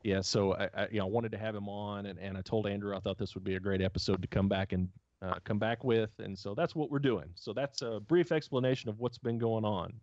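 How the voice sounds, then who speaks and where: A man's voice: lowish voice, fairly even intonation, a little fast, few pauses, darkish tone, no room sound, dull audio. A man hosting a casual podcast chat, rambling with many fillers and restarts. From United States. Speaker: podcast host.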